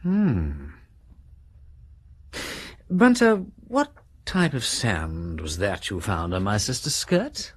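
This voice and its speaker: An actor playing an affable, inquisitive gentleman detective in a radio drama, with an upper-class English accent and jaunty, old-fashioned slang. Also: lowish voice, wildly swooping pitch, slow pace, long pauses, slightly rough, exaggerated voice. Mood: curious, inquisitive. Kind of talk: British radio drama acting.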